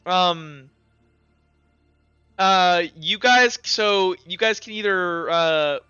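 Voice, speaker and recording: A man's voice: sits high, very slow, sharp tone, clear, resonant tone, no echo, lo-fi sound. A young man speaking casually as game master, improvising narration for a tabletop game. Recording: slightly boxy audio, music behind. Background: music.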